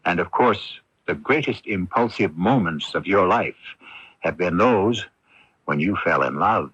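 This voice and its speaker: Knowing mystery host, husky, portentous, measured rhetorical delivery, muffled vintage radio. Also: male voice, low-pitched, animated, fairly bright tone, dry recording, exaggerated voice. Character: knowing storyteller addressing the listener. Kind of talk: scripted radio narration. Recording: muffled early-1980s AM radio broadcast.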